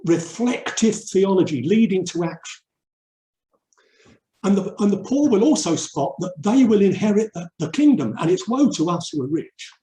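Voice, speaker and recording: An older man from England giving a reflective webinar talk, earnest and emphatic, with deliberate pauses. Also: high-pitched, expressive, mellow. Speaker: urban mission speaker and theologian. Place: indoor room, online webinar. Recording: roomy, echoey webinar audio.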